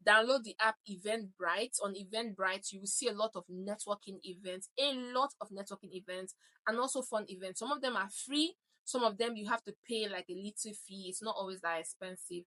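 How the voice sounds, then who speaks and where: A Nigerian woman in her thirties gives direct, emphatic advice straight to the camera. Her voice has a bright, clear ring, and she presses her points home with a repeated "okay?". Her pitch sits in the usual middle range for a woman. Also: a little fast, poor audio. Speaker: vlogger.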